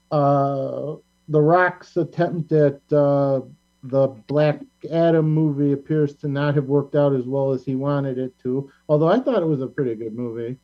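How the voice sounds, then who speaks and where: Man, talk show host, casual rambling delivery, halting, dull lo-fi sound with hum. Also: fairly high pitch. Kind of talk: casual panel discussion. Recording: muffled lo-fi audio with mains hum.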